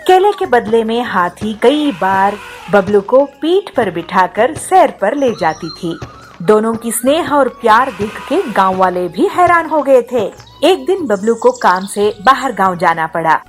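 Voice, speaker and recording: A woman's voice: on the high side, big pitch swings, measured pace, soft background music. A woman narrating a scripted moral story for children.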